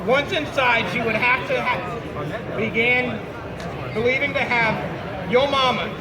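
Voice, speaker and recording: Male comic storyteller, very high-pitched, theatrical and halting, working a live audience in a loud, bustling space. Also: fairly even intonation, a loud crowd. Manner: theatrical, halting, heckles own story with asides. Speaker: storyteller leading an audience word game. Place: busy public venue with a crowd. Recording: noisy crowded public space, loud background bustle.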